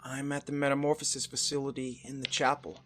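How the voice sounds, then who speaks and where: A man's voice: mid-range voice, little pitch movement, fast, music in the background, natural voice. A man playing an earnest, newly awakened engineered angel soldier in a visual novel game. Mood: impatient. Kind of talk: visual novel voice acting, inner monologue and dialogue.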